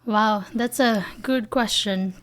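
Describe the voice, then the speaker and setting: A woman's voice: medium pitch, slow pace, a bit bright. A woman in her thirties from the Philippines with a Filipino accent, answering interview questions earnestly and often saying "you know", on a desk podcast mic in a small office. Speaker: guest, company founder. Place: small office studio with bookshelves. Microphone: desk podcast mic on boom arm.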